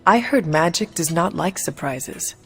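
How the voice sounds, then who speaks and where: A woman playing a stoic, formal warrior hero in a video game cutscene, speaking plainly and gravely. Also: on the low side, animated, measured pace, fairly bright tone. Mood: matter-of-fact. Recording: long hall reverb, music behind.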